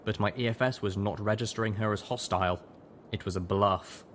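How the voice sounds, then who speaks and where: A man's voice: fairly low pitch, steady tone, brisk, fairly bright tone, a little hiss or hum. A man reading scripted first-person story narration as an audiobook narrator. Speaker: audiobook reader, show narrator.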